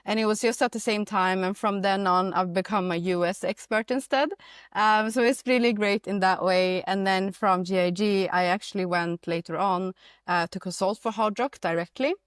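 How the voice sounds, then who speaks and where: A woman in her thirties, raised in Sweden, talks through her story in a casual interview. She speaks at a middle pitch, with plenty of fillers and quick laughs. The recording is dry and close. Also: steady tone, smooth, no room sound. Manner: chatty, many fillers, laughs easily. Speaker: marketing director, guest.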